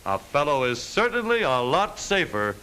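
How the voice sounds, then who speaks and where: A man's voice: a little high, very animated, normal speed, sharp tone, a little hiss or hum, low-quality recording. A man with an American accent reading scripted narration for a vintage educational film in a wry, jaunty newsreel manner. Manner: wry, jaunty, wisecracking asides.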